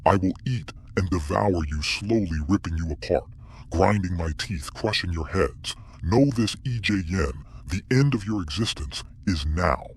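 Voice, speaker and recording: A man voicing a cruel, gloating monster in a motion comic, with a husky rasp and slow, theatrical threats. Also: low voice, no room sound, full character voice. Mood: menacing, cruel. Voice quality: husky, rasping. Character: menacing male monster familiar, cruel and gloating. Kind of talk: motion comic villain acting.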